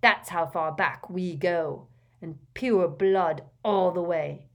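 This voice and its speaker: A woman's voice: lowish voice, slow pace. A woman voicing a raging, contemptuous middle-aged wizard father who bellows and jeers in an audiobook. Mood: outraged. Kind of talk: dramatised audiobook character voice.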